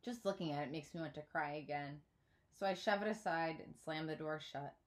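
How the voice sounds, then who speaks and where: A woman's voice: sits low, brisk. A woman in her thirties narrating a children's book expressively, like a teacher reading aloud. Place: small indoor room at home.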